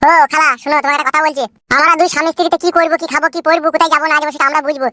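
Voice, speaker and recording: A woman's voice: high voice, little pitch movement, rapid-fire, bright, forceful tone, no room sound, pitched below the performer's own voice. A woman voicing a grumpy, bossy young husband in a comedy cartoon, talking down in a scolding way. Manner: scolding, condescending.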